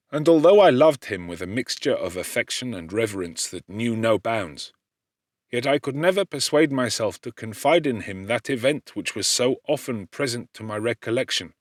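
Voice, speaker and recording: A man reads a classic novel aloud, his pitch rising and falling freely as he shapes each sentence. His voice sits at an ordinary middle pitch, and the recording is dry and close, with no room sound around it. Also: no echo. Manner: expressive, measured storytelling delivery. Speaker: audiobook narrator. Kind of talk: scripted literary narration.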